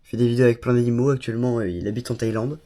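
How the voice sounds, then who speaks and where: A man's voice: medium pitch, steady tone, racing, warm tone, slight background noise. Chatty young man with a cold. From Bordeaux, France. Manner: chatty, enthusiastic, many fillers and asides. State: has a cold. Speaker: YouTuber showing his studio. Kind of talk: casual vlog tour. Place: small home studio room.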